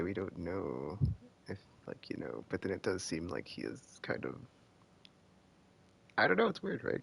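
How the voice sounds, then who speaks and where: A man live streaming a game, casually thinking aloud in halting, rambling commentary with trailing sentences. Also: deep voice, gravelly, fairly bright tone, slight background noise.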